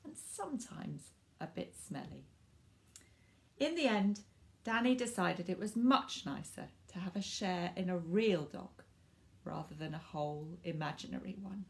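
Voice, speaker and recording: A woman reading a picture book aloud to children in an expressive way, stressing words for drama and speaking directly to young listeners. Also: medium pitch. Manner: animated, dramatic emphasis with drawn-out words and hushed asides.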